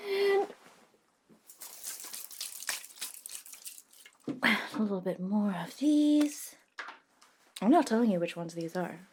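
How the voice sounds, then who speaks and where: A friendly but reluctant modern witch, played by a woman, speaks softly in an ASMR roleplay. Her medium-pitched voice is hushed and casual, with a slightly wry, blunt edge. There is clear noise on the recording. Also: very animated, normal speed, lightly acted. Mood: absorbed, murmuring. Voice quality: soft, hushed delivery. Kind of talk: soft-spoken ASMR roleplay.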